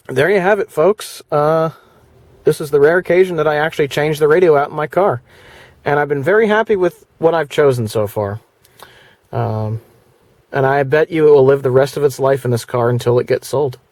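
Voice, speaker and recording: A man's voice: on the high side, normal speed, long pauses, darkish tone, dry recording. A man talking in a casual, plainspoken, rambling way, like someone presenting a product review vlog. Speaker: video presenter.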